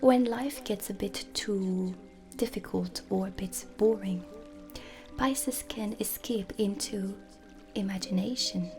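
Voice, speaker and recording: A woman speaking softly and breathily in a measured ASMR lesson, half whispering, as a witch teacher. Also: medium pitch. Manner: soft, breathy, measured teaching delivery with deliberate pauses. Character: dark witch teacher, stern and aloof. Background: ambient music.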